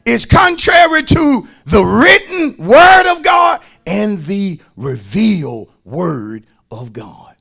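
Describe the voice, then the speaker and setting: A man's voice: sits high, sing-song, slow, gravelly, hardly any pauses, sharp tone, husky. A man preaching as a pastor, emphatic, repeating phrases and calling on his listeners to respond. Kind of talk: sermon.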